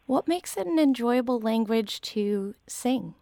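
Female radio host, friendly question-asker, slightly high voice, dry studio sound. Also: animated, unhurried, warm tone, dry recording. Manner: conversational, inviting questions. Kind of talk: radio interview.

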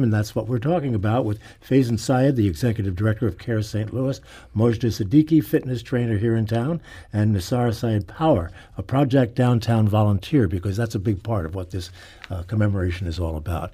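A man hosting a live radio panel interview, speaking in a halting way with repeated words. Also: fairly low pitch, warm tone, breathy edge. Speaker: radio talk show host. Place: radio studio.

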